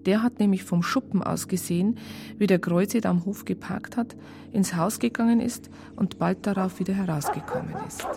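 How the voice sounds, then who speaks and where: A woman's voice: medium pitch, animated, normal speed, vocal fry, noisy background. A woman playing a police colleague who recounts a case in a matter-of-fact, colloquial way with a Bavarian accent. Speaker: narrator. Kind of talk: first-person conversational narration in radio crime drama.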